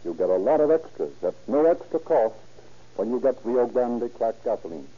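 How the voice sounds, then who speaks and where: A man's voice: medium pitch, big pitch swings, moderate pace, husky. A man reading scripted narration as a 1930s radio announcer, with a formal, dramatic delivery. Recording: muffled 1930s radio recording.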